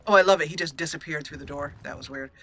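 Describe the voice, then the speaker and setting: A woman's voice: low-pitched, fast, sharp tone. A woman hosting a let's play, casually commentating and storytelling in a roleplay style.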